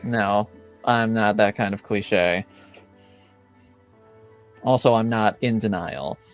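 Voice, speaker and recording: A man playing a reserved, dry, earnest character in a tabletop game, with deadpan, formally phrased lines. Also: on the low side, faint music behind. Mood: guarded, measured. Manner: deadpan, measured, formal phrasing with hesitant pauses. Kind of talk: improvised tabletop roleplay dialogue.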